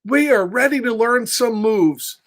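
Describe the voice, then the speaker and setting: A man's voice: very high-pitched, measured pace, a bit bright, pitched above the performer's own voice. A man voicing an eager, cheeky little squirrel from a children's picture book, speaking bluntly.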